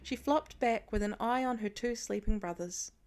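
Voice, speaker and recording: Woman narrating fiction, medium pitch. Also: moderate pace, a little mellow. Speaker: audiobook narrator.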